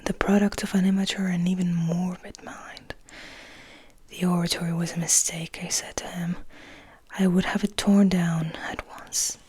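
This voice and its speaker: A woman voicing a serene but bitter male vampire in a measured, formal, breathy whisper for a storybook reading. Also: on the low side, little pitch movement, dark tone. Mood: reflective, somber.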